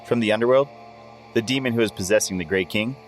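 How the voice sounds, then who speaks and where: A lowish man acting as a plain-spoken fantasy warrior. He delivers his lines in a terse, matter-of-fact way over faint music. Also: fast talker, close to an everyday voice, rough recording. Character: plain-spoken male axe-wielding adventurer. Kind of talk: audio fantasy drama acting.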